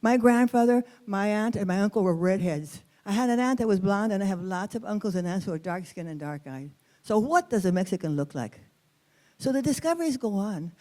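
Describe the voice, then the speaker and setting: A woman's voice: medium pitch, lively intonation, brisk, warm tone. A woman storyteller from East Los Angeles, with an accent from there, telling a personal story expressively, weaving in Spanish words and translating them.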